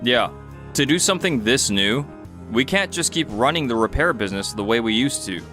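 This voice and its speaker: A man's voice: medium pitch, expressive, brisk, pushed and bright, soft background music. A man voicing a mild, reasonable young company founder in a dubbed comic drama. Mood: upbeat, earnest.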